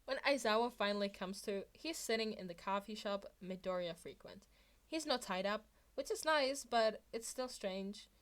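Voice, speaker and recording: A woman's voice: medium pitch, normal speed. A woman narrating fan fiction as an amateur podfic reader.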